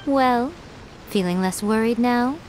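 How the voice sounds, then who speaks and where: A woman's voice: fairly high pitch, takes it slowly, very noisy. A woman voicing a sly, poised fox shrine maiden in a video game, coy, teasing and assured. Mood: amused, knowing. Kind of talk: video game character acting.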